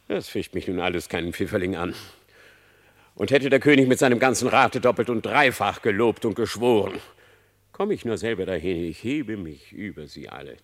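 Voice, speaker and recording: An actor playing a sly, boastful fox in a classical verse radio drama, theatrical, wheedling and self-assured. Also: male voice, fairly low pitch, a bit bright. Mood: airy boasting. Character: sly, boastful fox, smooth-talking trickster.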